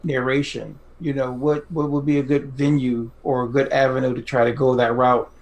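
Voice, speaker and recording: A man's voice: mid-range voice, flat delivery, normal speed, darkish tone. A man co-hosting a podcast interview in casual chat, rambling and complimentary as he asks questions.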